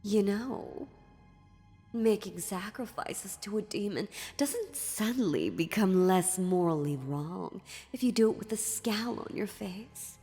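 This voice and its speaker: A woman's voice: medium pitch, expressive, dark tone. A woman playing an ancient, dominant demon in a fantasy audio roleplay, mocking and menacing, with a condescending, theatrical delivery. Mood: mocking, teasing. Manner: slow, condescending, sardonic, theatrical. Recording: long reverberant hall, music underneath.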